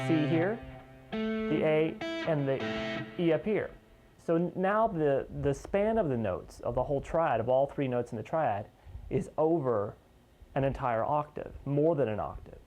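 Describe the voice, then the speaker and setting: A man with a medium-pitched voice gives an instructional guitar lesson. He talks informally and explains things step by step, pausing to point out notes. Some guitar sound sits around his speech. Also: a little mellow. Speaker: guitar teacher.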